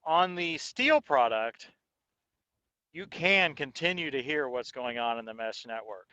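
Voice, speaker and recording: Male reviewer, bright forceful tone, casual and explanatory, dry close headset mic. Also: mid-range voice, expressive, a little slow, no echo, highs cut off. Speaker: host and product reviewer. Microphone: intercom headset microphone.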